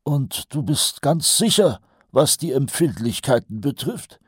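Man as a husky, grumbling old sergeant. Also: mid-range voice, lively intonation, measured pace, no room sound, clean studio sound, voice pushed up. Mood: gloomy, doubtful. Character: weary, reluctant, grumbling older watch sergeant. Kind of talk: audiobook character voice.

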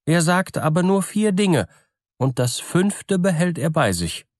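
Man as a sly, high-voiced peasant trickster. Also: very animated, unhurried, dry recording, studio quality. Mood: sly, teasing. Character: sly, smooth-talking peasant fortune-teller trickster, male.

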